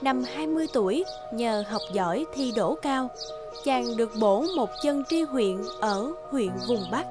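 A woman's voice: a little high, expressive, a little slow, music in the background. A woman reading scripted fairy-tale narration as a storyteller.

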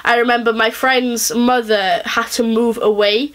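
A young woman with a thick Welsh accent, ranting casually to camera in her bedroom, fast and chatty with lots of fillers. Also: fairly high pitch, level tone, fairly bright tone. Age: young adult. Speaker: vlogger. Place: small bedroom, indoors.

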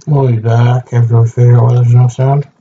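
Dark-voiced guy with dry asides. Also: mid-range voice, level tone, brisk, dull audio, a little hiss or hum. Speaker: co-host friend. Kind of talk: casual chat.

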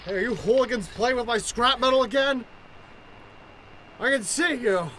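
An actor playing an eccentric professor, speaking in a theatrical, grandiloquent way in an improvised radio play. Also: male voice, very high voice, normal speed, a bit bright, a little hiss or hum, rough recording. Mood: indignant. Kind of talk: improvised old-time radio play acting.

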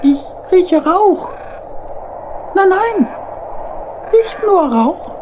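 A woman's voice: very high-pitched, lively intonation, very slow, highs cut off, noisy background, full character voice. A woman voicing a cheerful, eager little hedgehog in a German children's audio play, exclaiming with singsong wonder. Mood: curious, excited.

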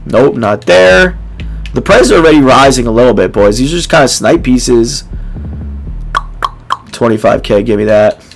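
A young man in his twenties with a hoarse, nearly gone voice, casually commenting on a game to his viewers in a small dark room. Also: medium pitch, expressive, moderate pace, long pauses, a little hiss or hum. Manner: laid-back, filler-heavy, addresses viewers as boys. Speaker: gaming YouTuber.

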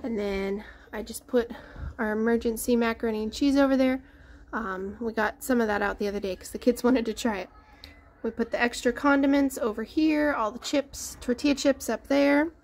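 Young mom, slightly high voice, chatty home vlog on a handheld camera. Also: female voice, steady tone, normal speed, a little mellow. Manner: chatty, loses words, trails off. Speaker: mother of a large family, vlogger. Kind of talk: casual grocery haul walkthrough. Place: indoors at home, dining room and pantry. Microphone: handheld camera held at chest height.

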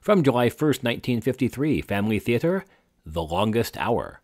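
A man's voice: medium pitch, sing-song, normal speed, darkish tone, no room sound, studio quality. A man hosting a podcast, reading a scripted introduction. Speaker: podcast host.

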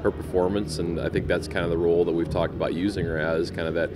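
A man's voice: deep voice, little pitch movement, fast. A middle-aged American man speaking as a head coach in an interview. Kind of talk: post-game interview. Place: large indoor practice facility. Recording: clear background noise in large hall.

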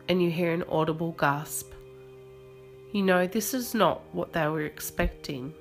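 Slow-paced woman narrating a guided meditation, lowish voice. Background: soft music.

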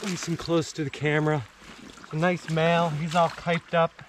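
A man's voice: fairly high pitch, moderate pace. A young man talking casually to his action camera while fly fishing outdoors by a winter creek. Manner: excited, breathless while landing a fish. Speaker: fishing vlogger. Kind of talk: casual outdoor vlog commentary. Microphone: action camera, chest and arm's length. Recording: faint stream noise outdoors. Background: flowing stream water.

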